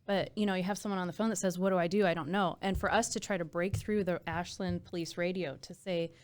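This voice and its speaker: A woman's voice: lowish voice, steady tone, quick pace. An American woman talking casually and earnestly in an interview, with admiration, as an emergency dispatch supervisor. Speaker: emergency dispatch supervisor and trainer, podcast guest.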